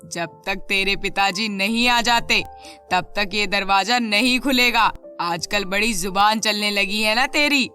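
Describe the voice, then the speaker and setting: Cruel cartoon mother, acted by a woman: stern, threatening, domineering, sharp bright tone, music underneath. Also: mid-range voice, a little slow. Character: harsh, scolding stepmotherly mother, domineering. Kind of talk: animated children's moral story.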